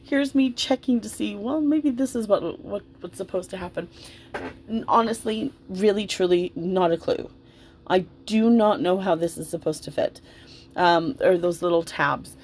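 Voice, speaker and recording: A woman's voice: mid-range voice, moderate pace, a little mellow, slight background noise. Casual, rambling woman chatting about her hobby. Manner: informal, rambling, effusive about the doll. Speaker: doll hobbyist vlogger. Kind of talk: casual, chatty commentary while dressing a doll.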